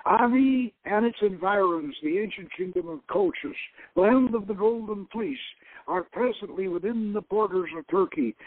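High-pitched man reading, thin phone-like sound. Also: dry recording. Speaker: host reading his adventure novel aloud. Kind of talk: dramatic audiobook-style reading.

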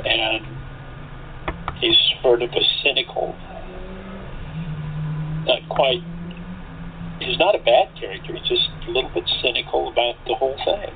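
A man, an actor, chatting in a halting, thoughtful way as an interview guest. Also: medium pitch, vocal fry. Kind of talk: casual interview chat. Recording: tinny phone-like call with hiss.

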